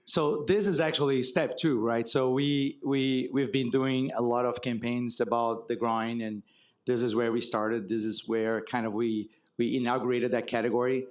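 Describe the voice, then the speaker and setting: A man from Brazil with a Brazilian accent speaks as the guest in an onstage fireside chat before an audience. He explains with examples in a relaxed way and often adds a wry, humorous turn. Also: mid-range voice, normal speed. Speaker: marketing executive guest. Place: conference stage before an audience.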